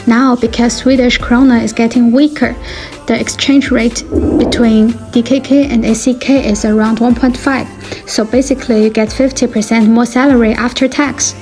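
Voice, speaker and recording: Young chinese woman vlogging, calm and measured, highish mellow voice, soft music under it. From China. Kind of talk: scripted vlog narration.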